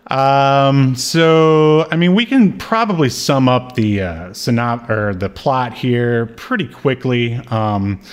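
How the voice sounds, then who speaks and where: A man's voice: medium pitch, slow pace, hardly any pauses. A man co-hosting a podcast, chatting casually about a TV show and often searching for his words. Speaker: podcast co-host. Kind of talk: casual chat, reviewing a TV show.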